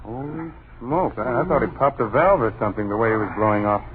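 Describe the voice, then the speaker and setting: A man's voice: medium pitch, lively intonation, fast talker, lightly acted. An actor with an American accent playing a brash, easygoing, slangy father in an old-time radio drama. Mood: concerned. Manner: slangy, breezy, dismissive. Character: brash, easygoing young father renting a country house. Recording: noisy, tinny vintage radio recording.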